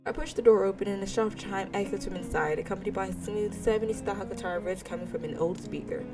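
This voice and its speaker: A woman's voice: medium pitch, fast talker, music in the background. A woman narrating a visual novel in a let's play, reading expressively and dropping in casual side remarks. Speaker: gaming video creator reading a visual novel aloud.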